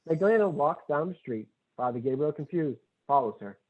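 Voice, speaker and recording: A man's voice: on the high side, lively intonation, brisk. Man reading stage directions, phone-like sound. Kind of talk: scripted narration at a table read. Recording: tinny phone-like audio.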